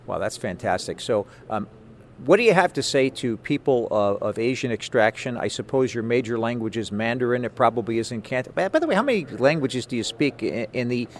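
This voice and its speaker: A male sports host with a mid-pitched voice conducts a conversational interview. He is wordy and encouraging with his guest, and there is noticeable ambient noise behind him. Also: animated, fairly quick.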